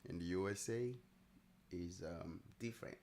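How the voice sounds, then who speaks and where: A man with a low voice and a mixed Nigerian-Italian accent hosts a live stream in an easygoing, chatty way. He thinks aloud as he talks and laughs easily. A faint hiss of noise sits underneath. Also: normal speed, a little mellow. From Nigeria, raised partly in Italy. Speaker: trading livestream host. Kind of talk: casual live-stream chat answering viewers.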